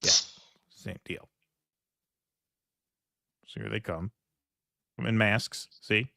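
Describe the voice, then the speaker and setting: A man's voice: fairly low pitch, fairly even intonation, extremely slow, gravelly, pushed and bright, dry recording, clean studio sound. A man hosting a political livestream, reading news articles aloud with casual asides, many fillers and indignant remarks. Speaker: political commentary host.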